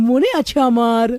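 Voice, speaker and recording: A woman voicing an eager, stubborn, playful young male student in a children's cartoon, excitable and insistent. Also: high voice, dry recording, clean studio sound, heavily acted.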